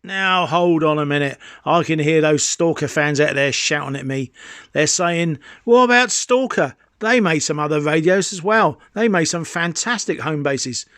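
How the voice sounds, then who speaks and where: A man's voice: fairly high pitch, moderate pace, fairly bright tone, no room sound, studio quality. A man in his fifties presenting a hobby showcase in an enthusiastic, savouring, exclamatory way. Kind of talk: enthusiastic product showcase narration.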